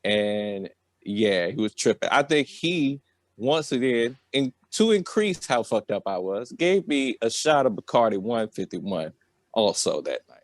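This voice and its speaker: A man's voice: lowish voice, sing-song, unhurried, no room sound. An American man telling a personal story in a loose, rambling, slangy way, often tacking on filler phrases. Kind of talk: casual, rambling comedic storytelling.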